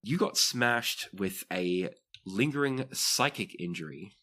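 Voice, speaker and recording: A man's voice: lowish voice, expressive, takes it slowly, sharp tone. A man narrating a tabletop roleplaying game as game master, describing scenes and explaining rules to players.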